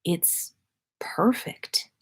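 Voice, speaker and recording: A woman voicing a shy, awestruck teenage schoolboy in a story, hushed, halting and earnest. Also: whispered. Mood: hushed awe.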